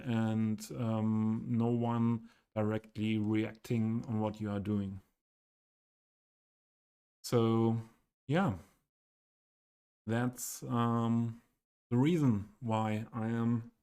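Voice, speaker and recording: German-accented male streamer, slow halting delivery, flat mellow low voice. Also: smooth. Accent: Western German. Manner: halting, many ums, searching for words. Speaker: game streamer. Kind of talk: casual live stream commentary.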